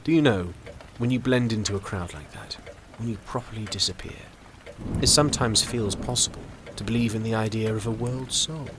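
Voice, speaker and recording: A man plays an older doctor, worldly and unsentimental. He reasons drily in a low, measured voice. The audio drama has faint noise behind him. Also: close to an everyday voice. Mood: wistful, musing.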